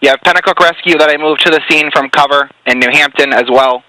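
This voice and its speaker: Fast, bright-voiced male radio dispatcher. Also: fairly high pitch, no echo, low-quality recording. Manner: clipped, procedural. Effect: two-way radio. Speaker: emergency fire dispatcher. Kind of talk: radio dispatch, repeating calls in clipped procedural phrases. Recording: compressed radio dispatch audio with hiss.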